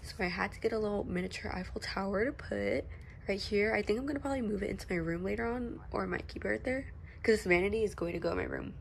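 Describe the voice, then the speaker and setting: Teen girl, mid-pitched voice, stuffy nose, chatty rambling, phone recording, bedroom, faint background hum. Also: fast talker, gravelly. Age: teens. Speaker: makeup vlogger. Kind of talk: casual vanity tour vlog. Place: bedroom at home. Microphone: phone camera.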